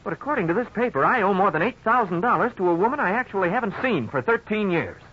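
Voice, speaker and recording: A man's voice: high voice, lively intonation, quick pace. An actor playing an earnest, boyish husband in his twenties in a 1950s radio drama. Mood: puzzled, worried. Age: 20s. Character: upbeat young husband and father, advertising salesman. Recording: muffled, noisy 1950s radio recording.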